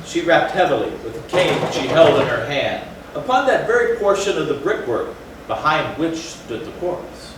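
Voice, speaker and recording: A man performs as a grim, theatrical narrator of Gothic horror. The voice is a little high for a male and formal in delivery. It comes from far away in a very echoey hall over faint noise. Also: sing-song, normal speed. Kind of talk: dramatic stage reading.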